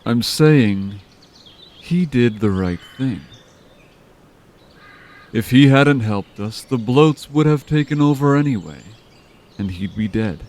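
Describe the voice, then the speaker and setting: A man voicing a calm, manipulative villain leader who taunts in an unhurried, smooth way in an audio drama. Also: mid-range voice. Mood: taunting. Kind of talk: amateur audio drama acting.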